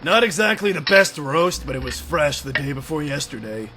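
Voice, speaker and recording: A man's voice: fairly high pitch, sing-song, normal speed, sharp tone. An actor playing a shrewd, folksy, grizzled leader of vampire-hunting outlaws in a fantasy audio drama, needling as he talks. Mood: persuasive. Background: music.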